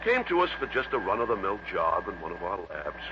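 A man's voice: deep voice, sing-song, brisk. An actor playing a genial, chatty but slightly guarded American businessman in a 1960s radio drama, hearty and conversational. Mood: confiding. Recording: muffled, noisy vintage radio broadcast.

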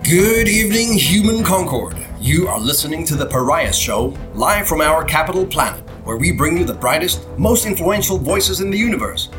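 A man's voice: on the high side, expressive, normal speed, hardly any pauses, music in the background, lightly acted. Actor as probing sci-fi talk show host, man. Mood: showy, genial. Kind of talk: audio drama acting as broadcast interviewer.

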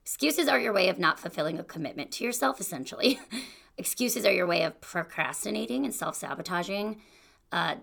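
A woman's voice: on the low side, gravelly, pushed and bright. A young woman hosting a solo podcast, talking in a chatty, encouraging way about goals and excuses. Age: young adult. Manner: chatty and encouraging, with fillers like "you know" and "like". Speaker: podcast host. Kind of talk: casual solo podcast monologue.

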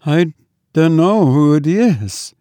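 A man's voice: a little high, lively intonation, slow, warm tone, no room sound, pitched above the performer's own voice. A man voicing a terrified housemaid in a storybook reading, gasping, faint and hesitant with horror. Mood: faint, shocked.

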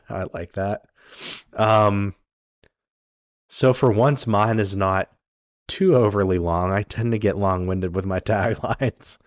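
A man co-hosting a casual film talk, his voice raspy from being sick, rambling with many ums and restarts. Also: fairly low pitch, takes it slowly, dry recording, dull audio. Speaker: podcast co-host.

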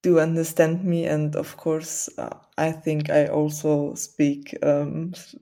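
A woman's voice: low-pitched, little pitch movement, slow pace, warm tone. A woman from southern Burgenland, interviewed, explaining dialect grammar with examples and laughing often. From southern Burgenland, Austria. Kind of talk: casual interview.

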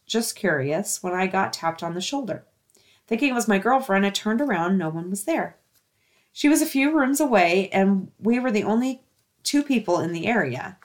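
A woman hosting a show, reading listener-submitted stories aloud in a casual, chatty way. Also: mid-range voice.

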